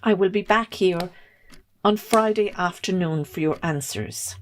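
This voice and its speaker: A woman acting a stern, businesslike solicitor in an amateur drama, formal and measured. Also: on the low side, slight background noise, close to an everyday voice. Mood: firm.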